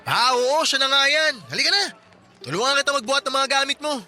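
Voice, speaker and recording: A helpful, talkative neighbor in his twenties for a radio drama. His voice sits high and rings very bright. He teases easily, and soft music plays underneath. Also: animated, fast, exaggerated voice. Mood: friendly chatty. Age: 20s. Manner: chatty, joking, helpful. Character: friendly chatty young working-class neighbor man. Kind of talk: Tagalog radio drama acting.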